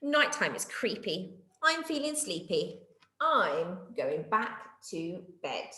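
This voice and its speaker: A woman voicing an excitable, easily spooked male monkey, full of wide-eyed exclamations, in a children's storybook. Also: lowish voice, slow, roomy sound, pitched below the performer's own voice, heavily acted. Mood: sleepy.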